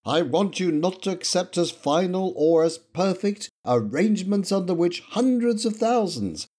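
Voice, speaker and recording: A man hosting a history podcast, reading a scripted narration with quoted passages in a measured way. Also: a little high, husky. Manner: measured, lively intonation, reading quotations.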